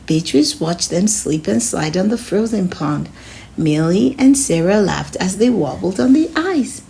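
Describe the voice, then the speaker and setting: A woman reading a children's story aloud in an expressive way, speaking to her young listeners as a host. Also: mid-range voice, a little slow, gravelly. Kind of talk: storybook read-aloud narration.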